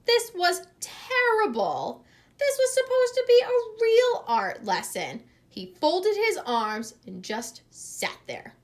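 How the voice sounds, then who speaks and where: A woman reading a picture book aloud in an expressive, teacherly way, pausing to address young listeners with questions. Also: extremely high pitch. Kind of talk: expressive children's read-aloud with asides and questions.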